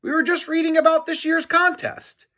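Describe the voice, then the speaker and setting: A man voicing a cheeky, eager fourth-grade boy in a storybook, with an excitable, mock-innocent manner. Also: extremely high pitch, normal speed, voice pushed up.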